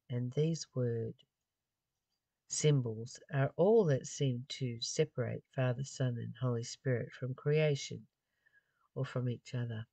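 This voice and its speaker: A mid-pitched voice reads and comments on spiritual study material. The delivery is unhurried and somewhat halting, with pauses inside phrases. The sound is dry, with very little room around it. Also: a little mellow, no echo. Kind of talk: reading aloud with informal reflective commentary.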